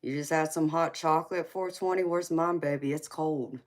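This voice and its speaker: Southern woman in her forties with a twang and a very deep voice, hosting a tarot livestream and calling viewers honey. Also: fairly even intonation, normal speed. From Georgia, US. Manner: affectionate, calls viewers baby and honey, laughs often. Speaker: tarot reader livestream host. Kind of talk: casual live chat reading comments.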